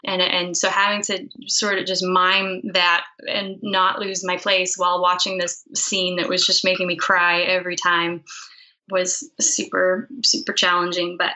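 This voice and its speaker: Bright-voiced woman chatting candidly. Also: lowish voice, monotone, unhurried, rough recording. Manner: candid, self-deprecating. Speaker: interview guest, folk musician and songwriter. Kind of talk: casual interview chat.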